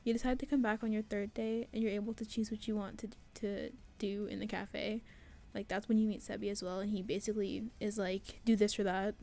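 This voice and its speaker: A woman's voice: mid-range voice, little pitch movement, fairly quick, vocal fry, warm tone. A young woman student vlogging casually, rambling with many fillers and restarts. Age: young adult. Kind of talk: casual devlog chat.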